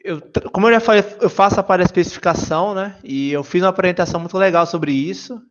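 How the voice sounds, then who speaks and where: A man from Salvador with a Bahian accent, a software engineer guest explaining cloud computing in a casual, self-deprecating livestream chat. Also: sits high, fast, dull audio. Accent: Bahian Brazilian Portuguese. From Salvador, Bahia, Brazil. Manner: self-deprecating, jokey, full of filler tags.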